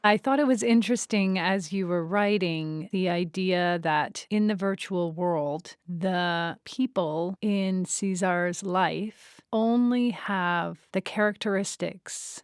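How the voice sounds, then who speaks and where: A woman's voice: lowish voice, slow, few pauses, smooth, dry recording, studio quality. A woman hosting a podcast, speaking as an interviewer. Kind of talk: interview and scripted credits.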